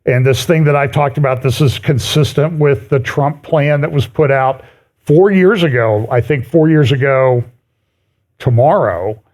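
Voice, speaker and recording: Male church speaker, mid-range voice, conversational and halting, commenting informally on articles. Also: medium pitch, a little mellow. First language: English. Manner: halting, conversational, often breaks off mid-phrase. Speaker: speaker giving a prophecy update at a chapel.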